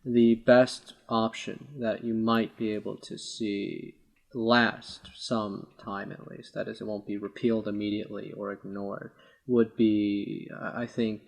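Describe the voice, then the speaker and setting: A man's voice: lowish voice, level tone, a little slow, gravelly. A man debating politics informally as a podcast co-host, speaking in a hesitant, wordy way with formal vocabulary.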